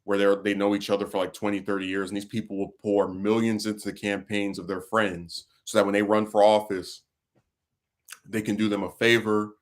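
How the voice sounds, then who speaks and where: A man's voice: on the low side, flat delivery, fairly quick. A man hosting a casual talk stream, venting about politics in a rambling way, full of fillers and restarts. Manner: frustrated, venting. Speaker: livestream host.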